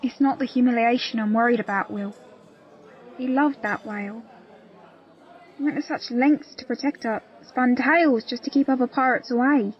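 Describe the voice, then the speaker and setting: A woman's voice: a little high, steady tone, moderate pace, dull audio, natural voice. An actress playing a protective, level-headed young pirate woman in an audio drama, firm and concerned. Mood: concerned, dry. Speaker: actor in an audio play. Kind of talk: theatrical audio drama dialogue.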